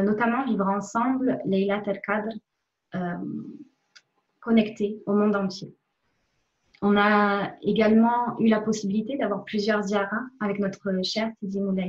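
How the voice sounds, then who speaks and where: A woman giving a personal spiritual testimony in a reflective, hesitant way with frequent pauses. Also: medium pitch, a little mellow. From France. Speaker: member of a religious community giving a testimony. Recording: dull, muffled lo-fi sound.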